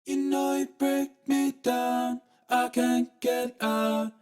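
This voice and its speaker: A woman's voice: high voice, monotone, takes it slowly, a little mellow, faint music behind. A woman singing pop vocal lines and harmonies, tightly edited and autotuned into an electronic, vocoder-like sound.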